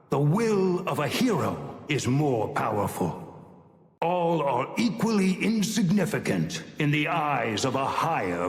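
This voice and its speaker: A man's voice: a little high, gravelly, heavily acted. A man playing an ancient, imperious sage with divine power in a video game, speaking in stern, solemn, grandiose proclamations. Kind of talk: theatrical game voice lines. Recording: long cavernous reverb on the voice.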